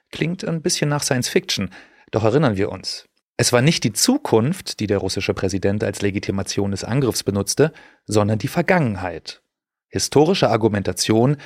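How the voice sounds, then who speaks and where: A man hosting a history podcast, reading a measured scripted introduction. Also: fairly low pitch, no echo, clean studio sound. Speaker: podcast host.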